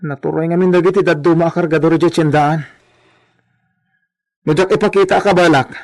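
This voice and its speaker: A man's voice: a little high, steady tone, brisk, a little mellow, close to an everyday voice. A Filipino-accented man playing an aggrieved, stubborn young porter and son in a radio comedy-drama, plaintive and protesting.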